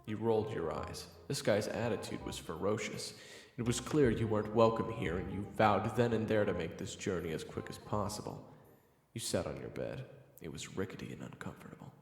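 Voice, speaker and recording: A man's voice: fairly low pitch, animated, fairly quick, echoey room, in a large hall, faint music behind. A man reading scripted second-person story narration as the narrator of a fantasy audio roleplay.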